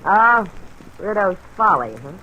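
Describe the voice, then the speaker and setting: A woman's voice: medium pitch, big pitch swings, measured pace, pushed and bright, dull audio. An American woman playing a brassy, bitter, wisecracking burlesque dame in an old-time radio drama. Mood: sardonic. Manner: wisecracking, slangy, blunt. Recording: old radio recording, faint crackly hiss.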